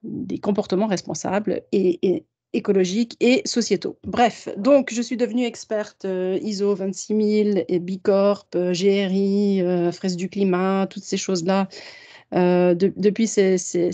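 A woman's voice: mid-range voice, fairly even intonation, measured pace, warm tone. A woman from Canada in her thirties introducing herself on a video call, chatty with many fillers and restarts. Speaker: sustainability consultant. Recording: dull video call audio.

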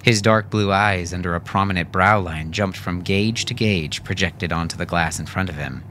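A man's voice: deep voice, normal speed, bright, forceful tone, slight background noise. A man reading scripted audiobook fiction as its narrator.

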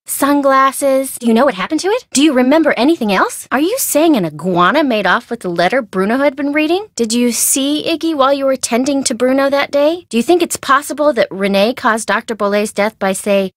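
An American actress playing a perky, curious, chatty young woman amateur sleuth in a video game, with an expressive, girlish manner. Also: high-pitched, brisk, few pauses, dry recording, studio quality. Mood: curious, questioning. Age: young adult. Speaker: voice actor. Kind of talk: video game character dialogue.

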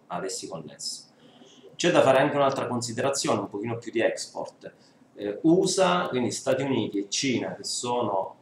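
A man in his thirties with a lowish voice explains his book earnestly, piling up lists of points. He is seated at a table and picked up by a far camera. The small room sounds echoey, with a faint hiss behind him. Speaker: book author presenting his book. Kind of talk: book presentation talk.

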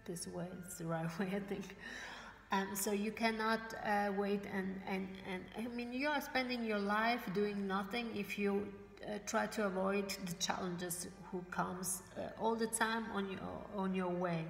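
A woman's voice: medium pitch, steady tone, vocal fry. Brief: woman, 40s, native Italian and German speaker; hesitant, word-searching motivational vlog to webcam; roomy living-room sound, faint background hiss. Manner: halting, searches for English words, many fillers and restarts; gestures with her hands while talking. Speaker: motivational vlogger for network marketers. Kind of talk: casual motivational talk to camera. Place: bright living room indoors.

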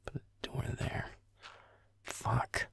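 A man playing a grumpy, irritable young werewolf boyfriend in an audio roleplay, muttering sarcastically in a gravelly, breathy near-whisper. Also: very slow, a bit bright. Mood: irritated, exasperated. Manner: muttering, grudging, sarcastic, sweary. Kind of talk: intimate audio roleplay over gameplay.

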